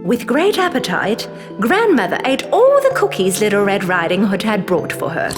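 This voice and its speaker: A woman's voice: medium pitch, big pitch swings, normal speed. A woman narrating a children's fairy tale as a scripted story. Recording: long reverb, clear music behind. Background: music.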